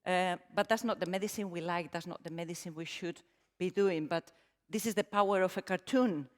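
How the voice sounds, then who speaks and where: A middle-aged woman with a Spanish accent presents a talk to a conference audience. Her speech is warm and self-deprecating, full of ums and restarts. The long reverb of a large hall trails behind her words. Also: on the low side, a little fast. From Spain. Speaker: conference speaker. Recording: reverberant hall, stage mic.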